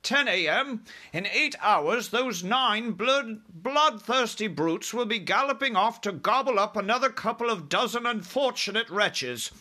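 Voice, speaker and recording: A man voicing a composed, commanding queen in a storybook, regal, firm and measured. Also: sits high, expressive, bright, forceful tone, voice pushed up, exaggerated voice. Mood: resolute. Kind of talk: storybook character voice.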